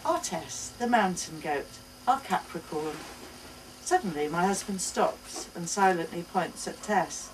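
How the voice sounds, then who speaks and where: Female reader for live short-story narration, measured and slow, recorded from off in a room. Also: on the low side, animated. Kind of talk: expressive live literary reading, first-person narration. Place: indoors at a bookshop book launch. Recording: distant mic, noisy live bookshop event.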